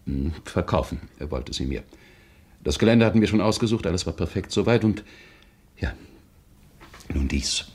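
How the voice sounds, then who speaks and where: Deep-voiced, smarmy salesman. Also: animated, normal speed, long pauses, darkish tone. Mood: slick, pushy. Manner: stilted, obsequious, flowery politeness with hesitations. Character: smarmy, pushy male land buyer feigning condolence. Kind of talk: German radio crime drama acting.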